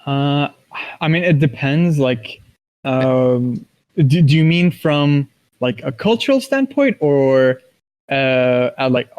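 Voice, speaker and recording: Halting male interview guest from Kuwait. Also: medium pitch, lively intonation, a little mellow, smooth, muffled recording. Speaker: interview guest, esports professional. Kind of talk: casual interview conversation.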